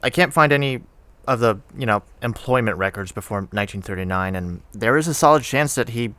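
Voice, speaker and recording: An actor playing an earnest, nervous friend in an audio drama, talking in a hesitant, halting way. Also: male voice, mid-range voice, lively intonation, fairly bright tone, no room sound, lightly acted. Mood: earnest, reassuring. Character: awkward, helpful male friend, nervous and earnest. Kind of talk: conversational audio drama acting.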